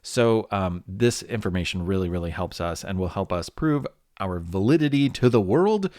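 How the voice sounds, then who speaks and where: Male kids' history podcast narrator: lowish pitch, highly varied intonation, conversational yet playfully dramatic. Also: animated, normal speed, darkish tone, no room sound, studio quality.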